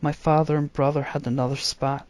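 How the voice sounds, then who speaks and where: Guy reading fantasy story out loud, dramatic narration, voice a bit high, no room echo. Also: flat delivery, a little fast. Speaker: narrator, reader.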